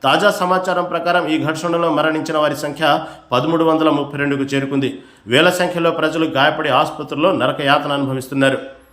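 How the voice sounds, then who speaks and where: A man's voice: a little high, steady tone, brisk, a bit bright, roomy sound. A man reading scripted news narration in a formal, emphatic manner.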